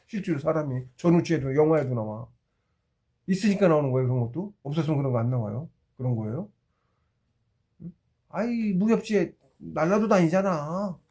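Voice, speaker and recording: Man in his sixties, bright voice. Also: fairly high pitch. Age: 60s. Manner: halting, circling back and repeating himself. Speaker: spiritual practitioner giving a talk. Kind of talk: rambling informal monologue telling anecdotes.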